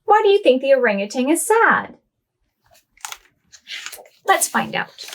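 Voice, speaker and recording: A woman librarian reading a picture book aloud to preschool children in a slow, sing-song storytime voice. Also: high-pitched, sing-song, a bit bright, a little hiss or hum.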